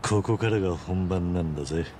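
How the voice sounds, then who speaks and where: Laconic game yakuza veteran, male actor, deep and unhurried, stoic, faint rumbling noise behind. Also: lightly acted. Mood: wry. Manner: laconic, deadpan.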